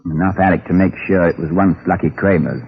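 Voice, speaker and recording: An actor playing a brisk, commanding private detective in a vintage radio drama. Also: male voice, low voice, gravelly. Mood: grave, urgent. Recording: dull, noisy old radio recording.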